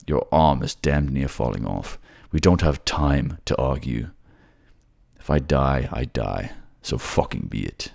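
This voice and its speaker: A man's voice: extremely low pitch, lively intonation, measured pace, vocal fry, a little mellow, exaggerated voice. A man voicing a grief-stricken, foul-mouthed male king with a bitter, raw way of talking. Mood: defiant.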